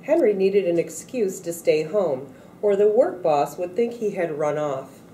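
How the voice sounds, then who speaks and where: Slow, mellow woman reading a story aloud. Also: on the low side, animated, distant mic. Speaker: storybook reader at a read-aloud event. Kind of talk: scripted picture-book read-aloud. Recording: echoey room, off-mic, faint noise.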